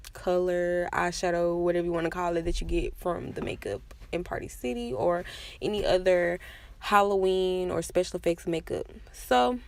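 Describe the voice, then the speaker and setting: A woman's voice: lowish voice, creaky, a little mellow, dry recording. A woman giving a casual, chatty makeup tutorial voice-over with self-deprecating asides. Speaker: makeup vlogger.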